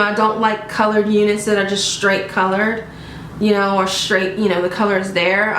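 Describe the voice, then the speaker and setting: A woman's voice: on the high side, a bit bright, slight background noise. A woman casually reviewing a wig at home, chatty and upbeat, often talking straight to her viewers. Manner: chatty and upbeat, often addressing viewers as "you guys" and asking "okay?". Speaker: beauty vlogger reviewing a wig. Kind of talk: casual product review vlog. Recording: roomy echo.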